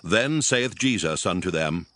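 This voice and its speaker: A man narrating scripture from a script in a measured, formal storytelling manner. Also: low-pitched, expressive, a bit bright, dry recording. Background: prominent music.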